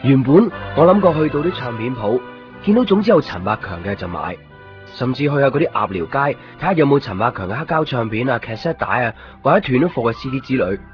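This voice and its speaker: A man's voice: medium pitch, expressive, quick pace, close to an everyday voice. An actor playing an introspective, solitary young man with a Hong Kong Cantonese accent, wry and understated in a radio drama. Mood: wistful. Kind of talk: radio drama monologue and dialogue. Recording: dull radio broadcast with music underneath.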